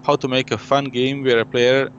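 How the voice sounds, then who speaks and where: A man's voice: mid-range voice, flat delivery, moderate pace, fairly bright tone. A man who designs board games, a French speaker from his youth on, explaining his game at length in a casual interview, full of fillers and "you know". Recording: dull lo-fi audio with faint noise.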